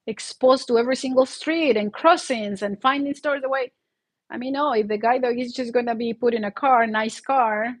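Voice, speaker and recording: A woman from Mexico with a Mexican Spanish accent hosting her own channel, speaking earnestly and laughing often while sick with the flu and a sore throat. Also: a little high. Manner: earnest, heartfelt, laughs often mid-sentence. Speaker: YouTube channel host. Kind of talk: casual livestream monologue.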